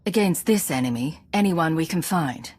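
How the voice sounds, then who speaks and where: A woman's voice: low-pitched, expressive, normal speed, darkish tone, breathy edge, no echo. A woman playing a composed, decisive spy commander in a video game, with a dry manner.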